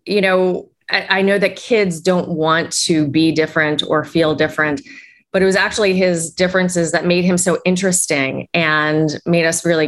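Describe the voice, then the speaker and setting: A woman's voice: sits low, a bit bright. A woman executive coach, a guest answering interview questions in a reflective way. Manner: reflective, uses "you know" often.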